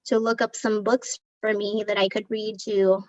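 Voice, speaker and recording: A woman whose first language is Tagalog, hosting a casual virtual storytime in an unhurried, conversational way. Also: medium pitch, little pitch movement, muffled recording. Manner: unhurried, conversational, thinking aloud. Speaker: storytime host.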